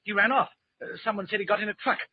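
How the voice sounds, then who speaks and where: An actor playing a shaken, deferential colonial aide in a 1940s radio drama, breathless and hesitant. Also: male voice, high-pitched, fairly even intonation, rapid-fire, breathy edge, no echo. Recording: dull old radio recording.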